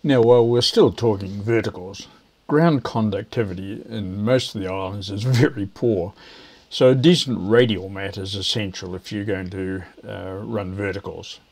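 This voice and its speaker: An older man, explaining things to camera in a measured, hesitant way. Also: fairly low pitch, darkish tone. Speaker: video presenter, ham radio operator.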